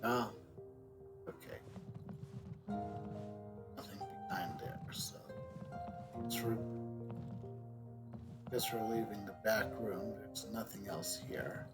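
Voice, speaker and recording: A middle-aged man giving casual live game commentary, halting as he thinks aloud indoors. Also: on the low side, over loud music. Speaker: game streamer.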